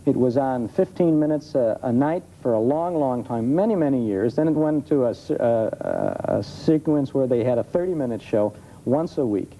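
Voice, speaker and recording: A man chatting informally as a studio guest expert, answering questions in a halting, explanatory way. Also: medium pitch, expressive, mellow. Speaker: talk show guest, old-time radio expert. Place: television studio. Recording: dull noisy TV studio tape.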